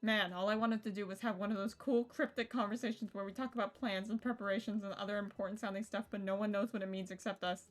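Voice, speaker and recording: A woman's voice: mid-range voice, fairly even intonation, quick pace, few pauses. A young woman live streaming a game, rambling and joking casually, swearing now and then and reading text aloud. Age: young adult. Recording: music playing behind the voice. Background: visual novel game music.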